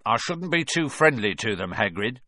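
A man's voice: mid-range voice, big pitch swings, normal speed, sharp tone. A man reading an audiobook aloud, voicing a spiteful, gleeful old male caretaker with a sneering manner. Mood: cold. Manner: sneering, voice cracking with glee.

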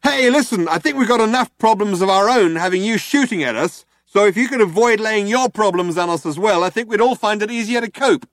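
A man doing Ford, an exasperated shouting alien. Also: high-pitched, fairly quick, few pauses, dry recording, studio quality, pitched above the performer's own voice.

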